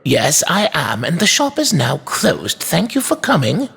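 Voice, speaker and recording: A man's voice: fairly high pitch, huge pitch swings, measured pace, vocal fry, a bit bright, breathy edge, voice pushed up, exaggerated voice. A man voicing an elderly toy maker in a storybook, stern and formally polite yet kindly at heart. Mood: curt. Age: older.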